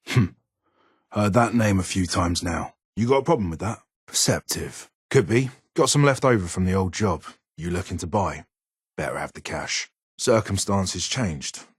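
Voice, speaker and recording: Casting: a grumbling, guarded older British ghoul engineer for a game; deep gravelly voice, close dry sound. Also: male voice, no room sound. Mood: irritable, curt. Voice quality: raspy, gravelly. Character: gruff, irritable older male ghoul weapons engineer. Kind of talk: video game character dialogue.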